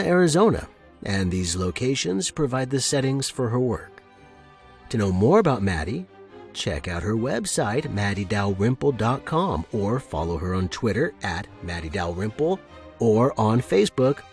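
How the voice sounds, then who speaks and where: A man's voice: fairly low pitch, a little mellow. A man hosting a fiction podcast and narrating short stories. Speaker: podcast host and story narrator. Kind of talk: casual intro and scripted audiobook narration.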